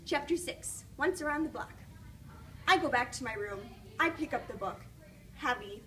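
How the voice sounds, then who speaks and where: A woman with a high voice reads a story to children, teacher-style. She is expressive and encouraging. The mic is somewhat distant and picks up faint background noise. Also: normal speed. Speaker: student teacher reading aloud to children. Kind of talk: children's storybook read-aloud with questions.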